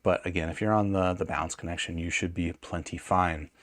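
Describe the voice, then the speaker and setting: A man's voice: deep voice, steady tone, a little fast, a bit bright, dry recording, clean studio sound. A man hosting an audio gear review in a casual, unscripted, conversational way, often hedging and correcting himself mid-sentence.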